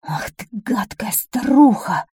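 An anxious fairy-tale king and father, acted out by a woman narrator. The tone is dark and the sound dry. The delivery is urgent and commanding, with a short temper. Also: fairly low pitch, unhurried, dry recording, lightly acted. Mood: angry. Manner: urgent, imperious.

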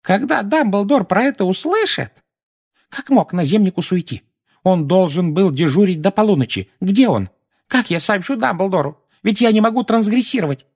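A man's voice: fairly high pitch, animated, fairly quick, vocal fry, slightly rough, pitched above the performer's own voice, exaggerated voice. A man voicing a frantic, scolding old woman in an audiobook, fretful and fierce in her exclamations. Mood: fretful, dramatic. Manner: lamenting, exclamatory, nagging.

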